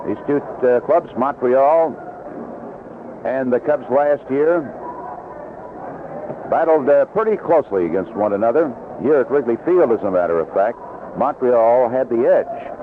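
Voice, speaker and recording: A man's voice: medium pitch, expressive, unhurried, pauses often. An American man calling baseball on 1970s radio as a play-by-play announcer, in a conversational delivery full of statistics. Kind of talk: 1970s radio sports commentary. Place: outdoor ballpark broadcast. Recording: noisy narrow-band vintage radio broadcast.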